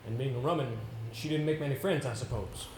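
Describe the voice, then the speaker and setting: A man acting in an amateur stage play as an earnest, confident young gentleman, formal, deliberate and declamatory. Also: mid-range voice, natural voice. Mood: inquiring. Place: indoor theatre stage. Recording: echoey stage recording with background hiss.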